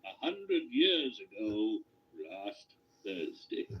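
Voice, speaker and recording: A man's voice: on the low side, huge pitch swings, extremely slow, vocal fry, dull audio, slight background noise, full character voice. An actor playing an authoritative old wizard in a radio play, testy and emphatic in his phrasing. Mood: measured, testy.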